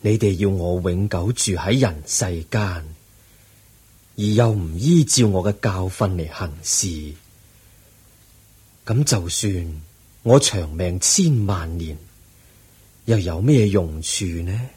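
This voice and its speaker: An actor playing the aged Buddha in a radio drama, a serene and patient teacher speaking solemn Hong Kong Cantonese in a husky voice. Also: male voice, sits low, expressive, dark tone, heavily acted. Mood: calm, solemn. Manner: solemn, measured teaching delivery.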